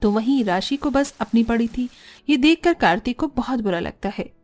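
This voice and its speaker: High-voiced woman narrating a story. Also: expressive, moderate pace, a little mellow, soft background music. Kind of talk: scripted cartoon story narration.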